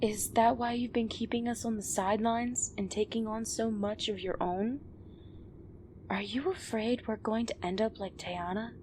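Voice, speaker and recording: Woman acting higher-voiced, probing female teammate. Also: steady tone, moderate pace, a little mellow, lightly acted. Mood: concerned, probing. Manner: direct, questioning. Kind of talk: dramatic audio drama acting. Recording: faint background noise and ambience.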